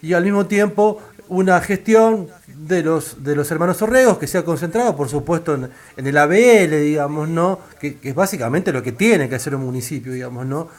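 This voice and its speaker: A man's voice: on the high side, expressive, brisk, hardly any pauses. A man from Argentina, hosting a radio interview and talking with many fillers and restarts. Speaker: radio host.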